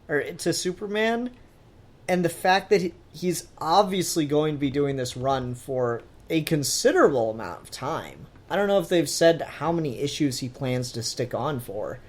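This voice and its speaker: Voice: mid-range voice, lively intonation, a little slow. A podcast host chatting casually about comic books in a rambling, informal, mildly sweary way. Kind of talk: casual comic book discussion.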